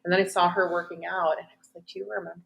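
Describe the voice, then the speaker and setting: Woman in her 40s, lowish voice, fitness coach chatting casually, kinda gushy. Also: flat delivery, very fast, creaky, dull audio. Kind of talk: casual conversational interview.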